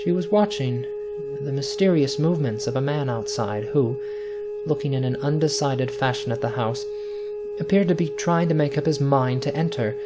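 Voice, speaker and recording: A man's voice: medium pitch, normal speed. Male dramatic narrator over prominent music. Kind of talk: dramatic scripted story narration.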